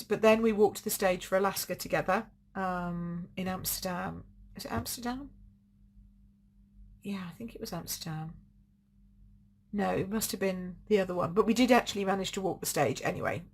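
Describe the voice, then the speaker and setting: Chatty woman, slightly croaky. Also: medium pitch, normal speed. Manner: chatty and effusive, gushing over crafts. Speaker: card-making demonstrator hosting a live stream. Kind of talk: casual live-stream show and tell.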